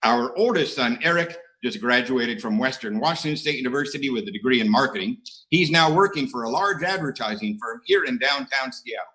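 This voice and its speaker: A man's voice: mid-range voice, lively intonation, a little fast, pushed and bright, low-quality recording. A middle-aged American man sharing his recovery story at an AA meeting, with dry, self-mocking humor and frequent hesitations. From United States. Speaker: AA speaker. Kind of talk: recovery share talk. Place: online conference.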